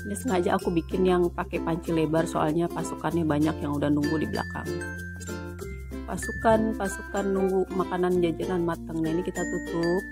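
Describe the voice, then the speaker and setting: A woman, a cooking channel host in a home kitchen, talking through a recipe in playful joking banter, her voice a bit hoarse from a sore throat. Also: lowish voice, fairly even intonation, moderate pace, music in the background. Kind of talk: casual cooking tutorial chat.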